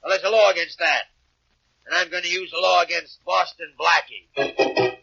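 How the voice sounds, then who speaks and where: Sarcastic police inspector, high-pitched, gravelly, vintage radio drama, dull old recording. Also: male voice, normal speed, sharp tone, dry recording, exaggerated voice. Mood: scornful, angry. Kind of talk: 1940s radio acting.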